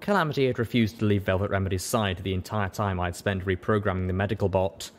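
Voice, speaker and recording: A man's voice: sits low, quick pace. A man reading scripted story narration as an audiobook narrator. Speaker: audiobook reader.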